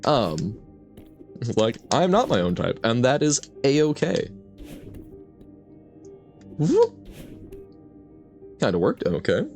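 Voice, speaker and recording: A man streaming a video game, talking casually to his chat in a rambling, reflective way and laughing often. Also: mid-range voice. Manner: reads and answers chat. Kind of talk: casual gameplay commentary.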